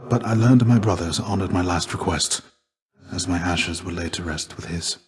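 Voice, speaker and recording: An actor voicing a stoic, weary dead Greek warrior and fatherly mentor in a video game, speaking in a measured, formal, restrained and gently grave way. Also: deep voice, breathy edge. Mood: somber, regretful. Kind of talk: video game character dialogue.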